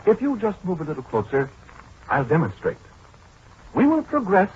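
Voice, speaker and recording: An actor playing a pompous, pedantic scientist who is easily flustered, in a 1940s radio drama. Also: male voice, sits high, heavily acted. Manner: pedantic, precise, lecturing. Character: pompous, flustered male scientist demonstrating a calculating machine. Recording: muffled, husky-sounding 1940s radio recording.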